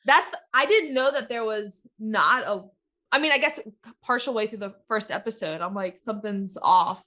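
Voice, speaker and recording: Bright-voiced chatty woman. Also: mid-range voice. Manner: chatty and quick to chime in. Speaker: podcast co-host. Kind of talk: casual chat.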